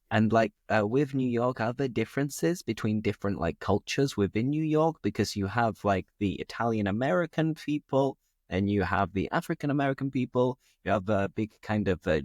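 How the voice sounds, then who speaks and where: A man's voice: lowish voice, animated, normal speed, darkish tone, no echo, clean studio sound. A man with a British accent hosting a casual conversation, asking curious, easygoing questions. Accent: British English. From England. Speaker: podcast host, English teacher.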